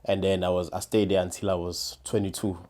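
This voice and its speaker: A man in his thirties talks casually as a podcast guest. His voice sits on the low side, and he often stops to restart and repeat his words. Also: flat delivery, a little fast, a crowd in the background. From South Africa, KwaZulu-Natal. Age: 30s. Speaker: podcast guest, former defense attorney. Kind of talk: casual interview chat.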